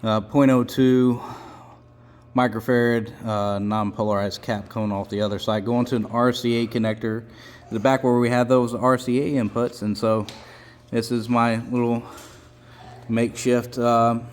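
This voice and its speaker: A man giving a casual, halting hands-on demonstration as host of a repair channel, rambling as he explains. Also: mid-range voice, level tone. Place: indoors at home.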